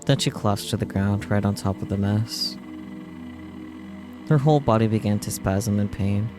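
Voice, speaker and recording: Low-voiced man streaming, music behind. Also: moderate pace. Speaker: streamer playing a visual novel. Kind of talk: reading game text aloud with casual commentary. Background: video game music.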